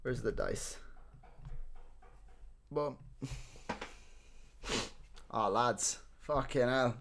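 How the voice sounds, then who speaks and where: A man with a neutral Irish accent and a mid-pitched voice talks casually on a livestream. He is informal and sweary, addressing his viewers as he reacts to updates. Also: drawn-out words, background noise. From Ireland and several countries abroad. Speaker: livestream host. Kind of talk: casual livestream chat reacting to team news.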